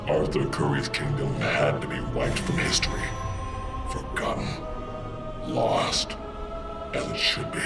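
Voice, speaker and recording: A man's voice: a bass voice, flat delivery, unhurried, vocal fry, pauses often, over loud music, exaggerated voice. A man playing a bitter, proud, menacing supervillain out for revenge in a video game monologue. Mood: grim, bitter.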